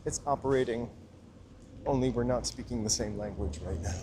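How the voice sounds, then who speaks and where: A man's voice: mid-range voice, fairly quick. Guy live-streaming a walk outside, friendly rambling to viewers, bit of street noise behind. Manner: chatty, upbeat, greets viewers by name. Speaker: live-stream walking vlogger. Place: outdoors on city streets, walking. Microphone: handheld gimbal camera mic.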